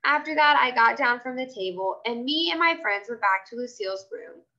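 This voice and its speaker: Animated, high-voiced woman reading a children's story. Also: a little fast, muffled recording. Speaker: read-aloud storyteller.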